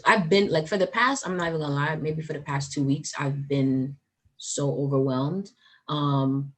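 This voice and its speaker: A woman in her twenties hosting a podcast in casual, upbeat chat, full of "like" and "um". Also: rumbling low. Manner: chatty, upbeat, lots of "like" and "um". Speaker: podcast host.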